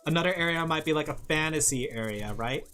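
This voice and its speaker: A woman's voice: deep voice, lively intonation, fast, fairly bright tone. A woman VTuber streamer chatting casually with her viewers while drawing, rambling and laughing often.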